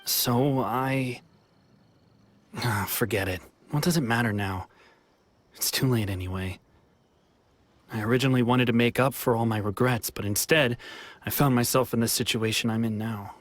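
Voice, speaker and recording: A man's voice: mid-range voice, moderate pace, pauses often, dry recording, lightly acted. An actor playing an anxious young man in hiding in a video game, hesitant and sighing with regret. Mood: regretful. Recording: game soundtrack music behind.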